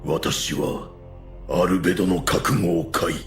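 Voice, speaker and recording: A man's voice: sits low, a little slow, reverberant, faint music behind. A man playing a stern, honourable insectoid warrior in an anime audio drama, speaking with weighty formality. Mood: grave, resolute.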